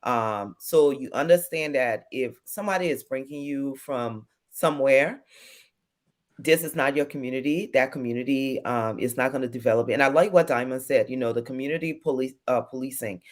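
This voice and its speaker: Hesitant candidate. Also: male voice, medium pitch, moderate pace. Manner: hesitant, many fillers, thoughtful. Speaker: political candidate. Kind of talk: panel discussion.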